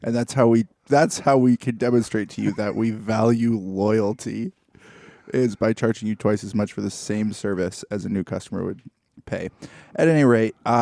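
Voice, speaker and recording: A man's voice: on the low side, darkish tone, no echo. A man, middle-aged, chatting casually and telling anecdotes with a wry, mock-formal turn of phrase, as a podcast co-host.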